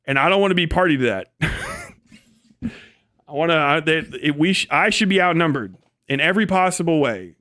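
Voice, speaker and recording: Smooth, higher-pitched man rambling on livestream. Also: fairly quick, pauses often, no room sound, studio quality. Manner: rambling, animated, frequently swearing. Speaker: livestream host. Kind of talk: casual livestream monologue, thinking out loud.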